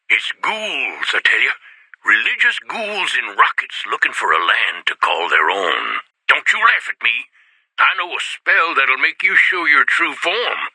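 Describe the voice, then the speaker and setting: A paranoid, rambling old wasteland eccentric for a video game, with a folksy backwoods drawl. His husky voice leaps around in pitch, from hushed conspiratorial whispers up to alarmed yelps. The studio recording is close and dry. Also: no echo, heavily acted. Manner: suspicious, conspiratorial, jumps between whispers and outbursts.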